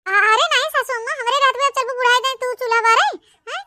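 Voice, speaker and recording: Cartoon village wife, Awadhi accent: extremely high, bright voice, chatty, affectionate and dutiful. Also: quick pace, a little hiss or hum, full character voice. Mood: dutiful, insistent. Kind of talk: cartoon comedy dialogue in rural dialect.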